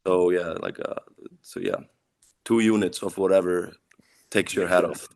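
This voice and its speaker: Young icelandic guy, deep gravelly voice, band singer chatting in english, keeps saying like while he hunts for words. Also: unhurried, a little mellow. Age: young adult. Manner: searches for English words, leans on "like". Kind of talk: casual interview answers.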